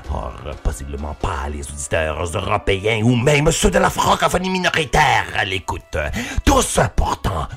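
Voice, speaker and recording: A man with a Québécois accent, hosting a podcast in a theatrical, declamatory way with dramatic swoops, reciting poetry. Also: low voice. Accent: Québécois French. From Quebec. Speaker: podcast host.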